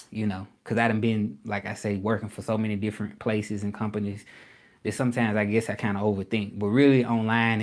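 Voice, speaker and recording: Man chatting casually to livestream viewers. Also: on the low side. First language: English. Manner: chatty, repetitive, addresses viewers as y'all. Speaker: livestreaming creator and language tutor.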